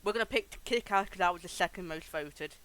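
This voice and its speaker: A man's voice: high-pitched, fast, bright, forceful tone, clear, resonant tone, poor audio. A man giving theatrical, exclamatory, sweary commentary on a game livestream. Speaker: game streamer.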